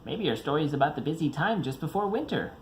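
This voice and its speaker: A man's voice: fairly high pitch, very animated, quick pace, reverberant, a little hiss or hum, poor audio. A man voicing a busy, polite and fond duck from a children's storybook. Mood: hurried, apologetic.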